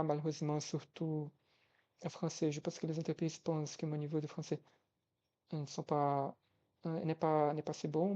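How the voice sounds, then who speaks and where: A man's voice: a little high, mellow, dull audio. Hesitant Brazilian man speaking French. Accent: Brazilian Portuguese. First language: Portuguese. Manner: hesitant, frequent restarts and self-corrections. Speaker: language tutor. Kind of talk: casual video diary.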